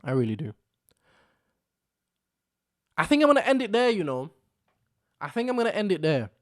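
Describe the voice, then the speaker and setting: Rambling young man talking to camera. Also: a little high. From Philippines. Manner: rambling, repeats himself, frequent "you know what I'm saying". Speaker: vlog host. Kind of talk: casual solo commentary. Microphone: camera microphone.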